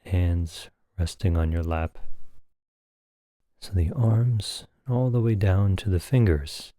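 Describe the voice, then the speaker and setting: A man leading a guided meditation as a teacher, speaking calmly and gently in short phrases with long pauses. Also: deep voice, no room sound, clean studio sound.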